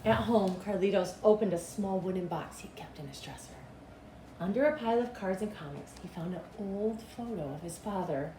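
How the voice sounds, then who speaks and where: A middle-aged woman reading a children's storybook aloud in an expressive, deliberate storytelling manner. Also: medium pitch. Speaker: school principal reading aloud. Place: small cinderblock school room, indoors. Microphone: camera a couple of meters away. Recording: echoey room with clear background noise.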